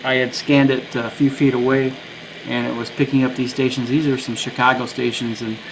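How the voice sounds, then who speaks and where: A man with a middle-pitched voice reviews a gadget off the cuff. He talks in a rambling, hesitant way, thinking out loud. The recording has some background noise. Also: level tone, brisk. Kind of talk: casual unscripted product review.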